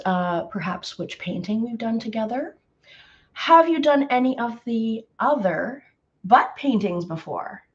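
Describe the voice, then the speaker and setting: A slightly hoarse woman hosting a live painting tutorial, chatty and greeting viewers by name with lots of ums. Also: fairly high pitch, lo-fi sound. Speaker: painting instructor.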